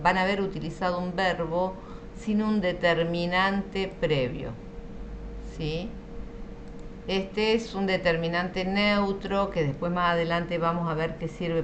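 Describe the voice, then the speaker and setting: A woman's voice: fairly low pitch, a little hiss or hum. A woman with an Argentine accent teaching French in an online class, patient and explanatory, often checking that students follow. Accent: Argentine Spanish.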